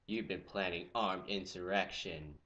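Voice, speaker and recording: A teenage boy giving a goofy, jokey history lecture, swinging from loud exclamations to quiet asides. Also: low-pitched, unhurried, dull audio, very noisy. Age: teens. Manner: goofy, animated, jumps between loud exclamations and mutters. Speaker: student presenter. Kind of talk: comedic lecture with skits.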